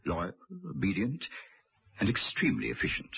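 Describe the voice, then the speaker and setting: A smug, ruthless mastermind from an old radio play. His voice is very low and gravelly, with measured, formal phrasing. The recording is dull and lo-fi. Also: big pitch swings, heavily acted. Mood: cold, proud. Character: suave, ruthless older male scientist villain. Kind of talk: 1960s-70s radio drama acting.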